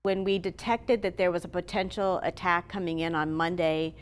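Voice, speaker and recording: A woman's voice: lowish voice, level tone, normal speed. A woman speaking as a hospital spokesperson, explaining in a news interview.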